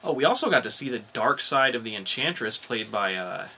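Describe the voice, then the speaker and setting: A man's voice: medium pitch, expressive, fairly quick, sharp tone, slight background noise. A man hosting a podcast in casual chat, informal and opinionated in the way he talks. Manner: opinionated, informal, mildly profane. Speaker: podcast host. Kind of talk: casual podcast chat about comics and movie news.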